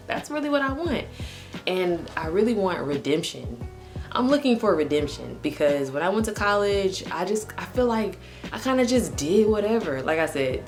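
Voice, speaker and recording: Low-voiced woman in her twenties, chatty vlog. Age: 20s. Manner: candid and chatty, heavy use of "like" and "um". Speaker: vlogger. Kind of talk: casual personal talk to camera.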